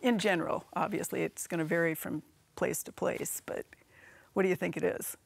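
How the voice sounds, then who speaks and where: Creaky-voiced American woman hosting. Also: fairly low pitch, fairly even intonation, moderate pace, no echo. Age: middle-aged. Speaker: TV host. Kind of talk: presenting and interviewing on a gardening show. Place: TV studio. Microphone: lapel mic.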